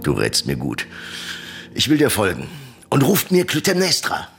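A haughty usurper king facing his doom in a classical radio tragedy. The voice is low and creaky with a hard, forceful edge, and the verse is declaimed theatrically. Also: huge pitch swings, normal speed, faint music behind, heavily acted. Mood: commanding, solemn. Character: doomed usurper king, haughty, horrified, stalling before death.

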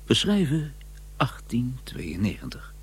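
An actor playing an educated scholar who narrates his memories in a measured, musing, wry way with a husky voice in a radio drama. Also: medium pitch, huge pitch swings, exaggerated voice. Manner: measured, musing, deliberate pauses.

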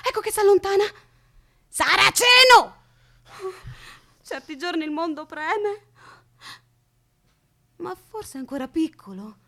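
A woman's voice: very high-pitched, big pitch swings, slow pace, pauses often, bright, forceful tone, heavily acted. An actress playing a childish, eccentric woman who keeps a captive, in theatrical radio drama with a whimsical, sing-song delivery. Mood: agitated, fervent.

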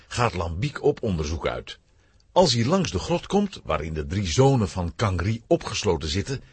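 A male narrator of a children's audio story, very husky and hugely animated in pitch. He tells the scripted tale dramatically, in a dry recording. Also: dry recording. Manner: dramatic, highly expressive.

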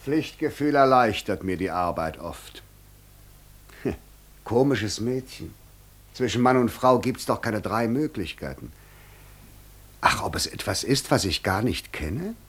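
Sly, mocking gentleman devil. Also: lowish voice, expressive, unhurried, long pauses, breathy edge, exaggerated voice. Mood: musing. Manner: sly, ironic, theatrically polite. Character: suave, mocking devil posing as a courteous gentleman. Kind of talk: classic German radio play acting.

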